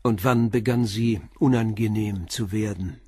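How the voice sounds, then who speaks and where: An actor playing a calm police inspector who questions a suspect with short, probing questions in a radio play. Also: male voice, on the low side, a little slow, slightly rough, no room sound, clean studio sound.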